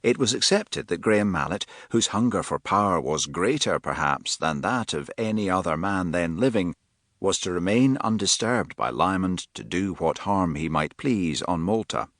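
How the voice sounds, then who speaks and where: A man with a very low voice reads a novel with a measured, expressive delivery. His pitch moves in a lively way rather than staying flat. The studio sound is dry, close and free of room echo. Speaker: audiobook narrator. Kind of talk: scripted narration.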